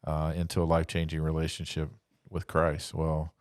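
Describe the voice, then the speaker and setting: A man's voice: extremely low pitch, completely flat delivery, moderate pace, no echo, studio quality. A man hosting a podcast in a conversational devotional style, earnestly exhorting listeners and repeating phrases as he goes. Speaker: podcast host, church ministry speaker.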